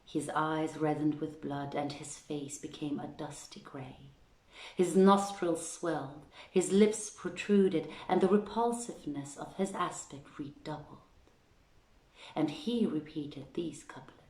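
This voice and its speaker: A low female voice reads archaic literary prose at a slow, measured pace. She hosts a reading from home, and the room adds noticeable echo around her words. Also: warm tone, distant mic. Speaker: reader and host of a live-streamed reading series. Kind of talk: literary storytelling read aloud, archaic prose and verse. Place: indoors at home. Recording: roomy home livestream echo.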